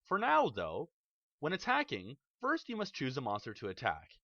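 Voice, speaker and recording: Male tutorial narrator, bright and animated delivery, methodical rule explainer; dry, slightly muffled home recording. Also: medium pitch, dry recording. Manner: measured, explanatory, enumerating rules step by step. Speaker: card game tutorial host. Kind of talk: scripted instructional narration. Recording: dull, slightly lo-fi dry voice-over.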